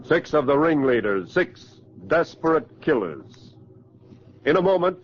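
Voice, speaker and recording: A man's voice: on the high side, sing-song, measured pace. A man with an American accent, narrating a 1940s radio crime drama in a grave, emphatic, melodramatic manner. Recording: muffled vintage 1940s radio broadcast.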